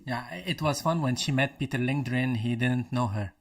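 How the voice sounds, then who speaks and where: A man's voice: mid-range voice, moderate pace. Man from Lebanon chatting on a live stream. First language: Arabic. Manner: hesitant, restarts while searching for English words. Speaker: channel host. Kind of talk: casual live stream chat.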